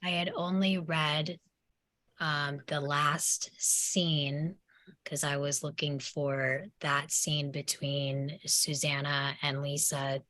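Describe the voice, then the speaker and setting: Low voiced woman chatting about film, kinda hesitant, very dry close sound. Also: flat delivery, takes it slowly, bright, forceful tone, no room sound. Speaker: discussion participant. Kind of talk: casual film discussion.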